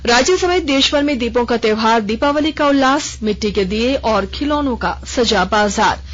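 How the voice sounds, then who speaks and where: A woman reading a regional radio news bulletin in a measured, formal delivery. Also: a little high, expressive. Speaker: newsreader. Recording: lo-fi radio broadcast.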